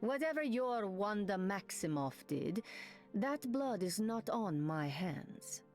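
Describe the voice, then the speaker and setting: Defiant heroine, lively pitch. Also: female voice, slow pace, faint music behind. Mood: confrontational. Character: defiant, intense red-clad heroine. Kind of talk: video game hero voice lines.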